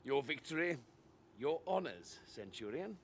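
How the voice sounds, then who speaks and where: An actor playing a seasoned Roman commander who speaks slowly and gravely in a video game cutscene. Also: male voice, a little high, big pitch swings, fairly bright tone, a little hiss or hum, full character voice. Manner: slow, measured and grave. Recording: game audio with battle ambience.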